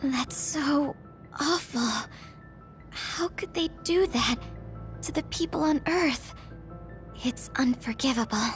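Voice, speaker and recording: High-voiced, slow-speaking sensitive girl. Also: faint music behind. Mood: dismayed. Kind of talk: English game dub acting.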